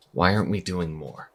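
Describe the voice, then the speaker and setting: Low, husky troubled young priest. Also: male voice, heavily acted. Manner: hesitant, halting, earnest. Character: troubled young priest losing faith in his church. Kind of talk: audio drama acting.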